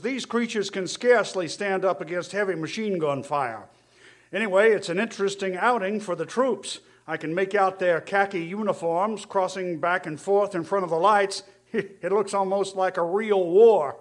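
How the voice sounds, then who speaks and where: An actor playing an army captain giving a field report in a radio drama, with a clipped, official manner. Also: male voice, sits high, natural voice. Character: confident male army signal corps captain reporting from battlefield. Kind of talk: stage radio drama acting.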